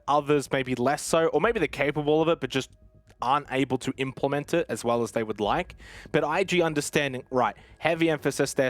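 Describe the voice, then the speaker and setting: A man commentating on an esports match in a conversational, explanatory way. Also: a little high. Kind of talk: analytical live match commentary.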